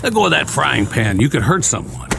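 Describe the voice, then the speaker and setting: An actor playing a weary, sardonic soldier in a radio drama, gruff but not cruel, with a wry, bossy, colloquial way of talking. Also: male voice, mid-range voice, very animated, brisk, very noisy. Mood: firm, weary. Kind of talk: dramatic radio acting, often talking to himself. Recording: studio radio drama with music behind.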